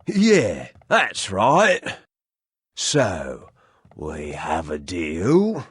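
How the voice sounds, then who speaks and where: A shrewd small-town bargainer in a fantasy game. His low, husky voice rises and dips theatrically as he slowly stretches out his folksy phrases. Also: wildly swooping pitch, fairly bright tone, dry recording, studio quality, exaggerated voice. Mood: wily, bargaining. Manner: colloquial, drawn-out bargaining delivery. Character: shrewd, rustic deal-making islander in fantasy town. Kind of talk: fantasy game character acting.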